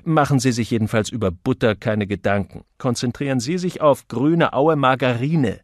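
A man's voice: mid-range voice, normal speed, no echo, studio quality, natural voice. A man voicing a grumpy, cynical advertising copywriter in an audiobook, curt, bossy and emphatic. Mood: brisk, instructing.

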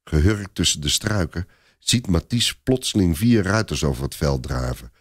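A man with a very low voice narrates a story dramatically. The recording is dry, with no room sound. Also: expressive, normal speed, husky, dry recording.

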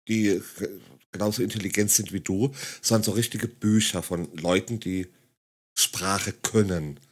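A man's voice: fairly low pitch, slightly rough. A man giving mocking, condescending commentary on a video clip in a sarcastic tone. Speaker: satirical commentator.

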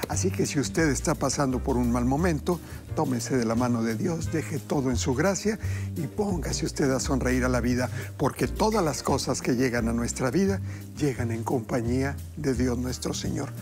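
A middle-aged man with a Mexican accent hosting a TV cooking show, upbeat and talking warmly to viewers as family. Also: mid-range voice, moderate pace, over music. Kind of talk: lively TV hosting and motivational talk. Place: TV kitchen studio set.

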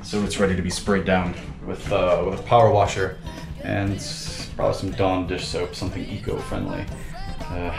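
Casual deep-voiced man filming himself. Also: gravelly. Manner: casual, swears freely, many fillers. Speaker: sailing vlogger. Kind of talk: casual vlog narration. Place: inside a damaged sailboat cabin. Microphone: handheld action camera close up.

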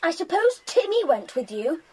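A woman's voice: extremely high pitch, big pitch swings, moderate pace, darkish tone. A woman playing a hot-tempered, sulky tomboy girl in a children's audio drama, blunt and indignant.

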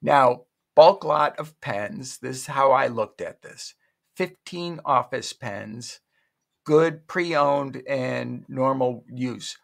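A man's voice: mid-range voice, takes it slowly, gravelly. A middle-aged man casually walking viewers through an online auction site at his home desk, rambling and correcting himself as he goes. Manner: rambling, self-correcting, chatty. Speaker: online shopping vlogger. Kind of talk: casual screen-share walkthrough.

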